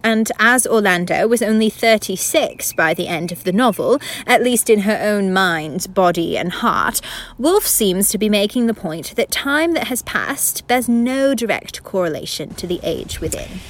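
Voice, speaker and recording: A woman's voice: medium pitch, expressive, unhurried. A woman playing a learned narrator in a radio play, reading scripted narration in a formal, lecturing manner. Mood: formal, didactic. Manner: formal, didactic. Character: learned, lecturing female narrator who interrupts the scene.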